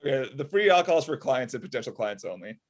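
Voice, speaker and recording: A man plays a frustrated, sardonic inventor in his thirties. It is an everyday, mid-pitched voice in a comedy table read over a home video call. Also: big pitch swings, quick pace. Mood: irritated. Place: small room at home.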